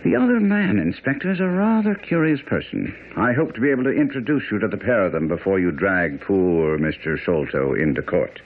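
An actor playing a brilliant, self-assured detective, precise and condescending, in a radio mystery drama. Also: male voice, low-pitched, big pitch swings, a little fast, few pauses, heavily acted. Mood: confident. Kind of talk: old-time radio drama acting. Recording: muffled, narrow-band radio broadcast.

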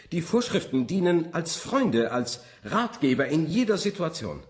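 An actor playing a pedantic, prim, earnest bureaucrat devoted to rules, precise and long-winded in a radio play. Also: male voice, a little high. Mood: rapturous earnestness. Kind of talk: German radio play acting.

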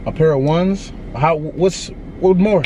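A man's voice: fairly high pitch, a little slow, background noise. A young man hosting a prank video, talking with brash, boastful swagger outdoors and then inside a parked car. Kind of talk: casual street prank banter.

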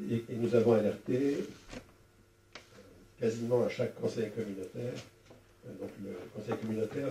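Low, mellow older man in a roomy hall. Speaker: former local councillor, panel speaker. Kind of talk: explanatory speech at a public debate. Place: small wood-walled meeting room at a public event. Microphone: handheld microphone. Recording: roomy, distant sound with faint noise.

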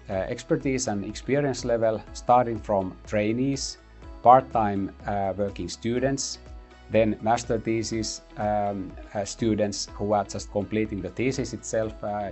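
A middle-aged man explaining a chip design program in an office, pausing often with fillers. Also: lowish voice, fairly even intonation, a little mellow, soft background music. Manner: measured, many "uh" pauses. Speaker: university computing head. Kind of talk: interview explanation. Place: office lab with whiteboard, indoors.

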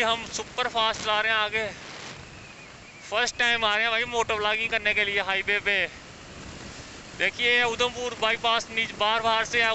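A young man in his twenties chatting casually and excitedly while riding a scooter, recorded outdoors on a clip-on mic. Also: sits high. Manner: chatty, excited, repeats "bhai" and "yaar". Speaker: motovlogger. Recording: wind and traffic noise, dull lo-fi sound.

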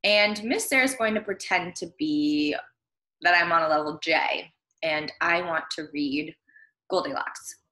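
A woman's voice: low-pitched, measured pace, bright, forceful tone. A young American woman, a primary school teacher, explaining a reading task to children in simple steps. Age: young adult. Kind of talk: instructional screen-share lesson for young children. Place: small room at home. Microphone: computer webcam, video recording. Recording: roomy home computer microphone sound.

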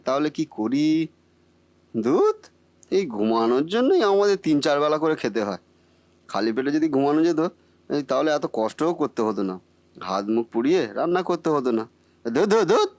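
A man's voice: a little high, sing-song, moderate pace, pauses often, darkish tone, no echo. A man voicing a bumbling, boastful cartoon husband who cannot cook, muttering theatrically to himself. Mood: grumbling. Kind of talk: comic Bengali cartoon acting.

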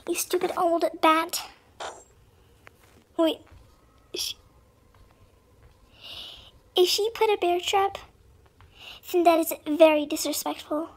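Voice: steady tone, slow pace, long pauses. A youthful gamer with a voice-changer effect, giving casual, dramatic and playfully self-deprecating gameplay commentary. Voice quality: high-pitched, youthful voice, altered with voice effects. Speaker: gaming video creator.

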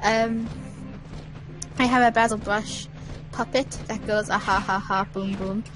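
A woman's voice: mid-range voice, steady tone, measured pace, over music, poor audio. A woman chatting casually over a game stream, rambling and giggling with lots of fillers. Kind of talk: casual chat.

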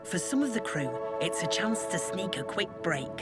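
A woman's voice: on the low side, very animated, normal speed. A woman reading scripted documentary narration. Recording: music bed under voice. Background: background music.

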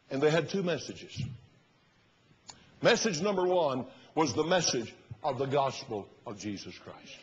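An older man in his sixties with a husky voice, preaching an emphatic, oratorical sermon as a pastor. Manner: emphatic, oratorical, rising to loud bursts and dropping low. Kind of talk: fiery sermon. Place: large church sanctuary, at the pulpit platform. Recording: muffled recording in a reverberant church hall.

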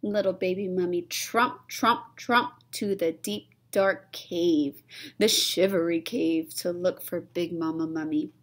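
A young woman reading a children's storybook aloud, expressive and suspenseful, stretching key words and dropping toward whispers. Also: on the low side, slow pace. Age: young adult. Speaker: read-aloud storyteller, teacher. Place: small classroom, indoors.

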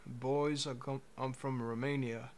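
Slow, laid-back young man streaming. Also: medium pitch, flat delivery. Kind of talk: casual livestream chat. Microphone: headset.

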